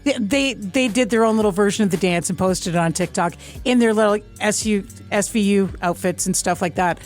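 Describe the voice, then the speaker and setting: A woman's voice: medium pitch, a little fast, darkish tone, faint music behind. Chatty woman on morning radio. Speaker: radio morning show co-host. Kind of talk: casual on-air chat. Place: radio studio.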